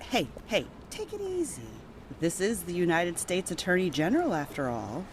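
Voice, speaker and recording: A woman's voice: lowish voice, big pitch swings, normal speed, noisy background. A woman playing a gracious, teasing former First Lady hosting guests in a game cutscene.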